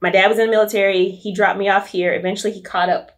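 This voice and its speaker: A woman's voice: mid-range voice, little pitch movement, fast talker. A young woman from Nashville, telling her personal story as a podcast guest, candid and reflective. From Nashville, USA. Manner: candid and reflective, many fillers like "um" and "right?".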